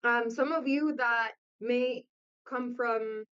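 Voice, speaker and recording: A woman's voice: fairly high pitch, steady tone, slow pace, fairly bright tone, clear, resonant tone, lo-fi sound. A woman from Puerto Rico giving an informal online lecture in a conversational way, often checking in with her listeners. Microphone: video call.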